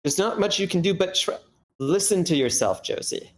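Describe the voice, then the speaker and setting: A man's voice: high voice, animated, normal speed. A man playing an earnest, placating husband in a screenplay table read. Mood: defensive. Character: earnest, placating husband hiking with his wife. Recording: background noise on a live meeting.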